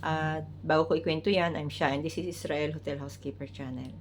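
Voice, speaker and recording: A woman with a Filipino accent and a somewhat low voice talks to her viewers in a relaxed vlog manner. When she reads an English document aloud, she goes slowly and carefully, with small slips along the way, since English is not her first language. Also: a little hiss or hum. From Philippines. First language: Tagalog. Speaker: vlogger, hotel housekeeper. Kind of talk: casual vlog, then reading a document aloud.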